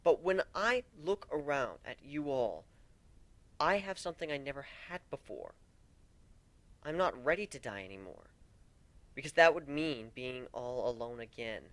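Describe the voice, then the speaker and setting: A man's voice: mid-range voice, animated, normal speed, long pauses, fairly bright tone. A man voicing a wounded, vengeful but fragile male griffin warrior in a theatrical dramatic story reading. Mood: scared, confessing, then tender, sorrowful. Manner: intense, theatrical, swinging into brooding.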